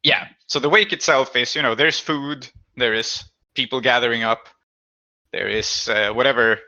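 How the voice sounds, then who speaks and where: A man's voice: mid-range voice, steady tone, moderate pace, pushed and bright, no room sound, highs cut off. A man hosting a tabletop role-playing game as game master, casually setting scenes and asking explanatory, inquisitive questions of the players. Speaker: game master and host of a tabletop actual-play podcast.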